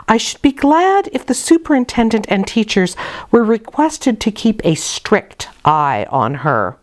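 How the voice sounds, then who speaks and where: A reader puts on an imperious, disapproving lady of a classic novel, a cold aunt figure. She speaks formally and haughtily, her pitch swooping widely. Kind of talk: dramatized audiobook reading.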